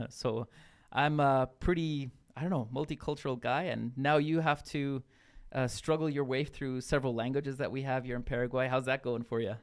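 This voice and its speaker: A man's voice: medium pitch, steady tone, normal speed, clean tone. A man co-hosting a casual radio podcast, talking loosely with lots of fillers and restarts. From Brazil. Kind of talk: casual chat.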